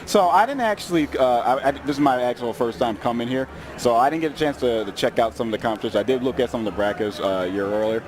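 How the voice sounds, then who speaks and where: A man with a mid-pitched voice gives casual colour commentary at a live gaming event. His talk is laid-back and slangy, and a crowd is clearly heard behind him. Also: fast talker. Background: crowd at a gaming tournament.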